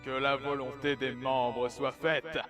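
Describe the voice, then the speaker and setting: A man's voice: mid-range voice, fairly even intonation, takes it slowly, close to an everyday voice. A man playing a stern, easily offended spirit, solemn and declamatory, in a comedic fan audio drama. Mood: imperious, offended. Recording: music behind the voice.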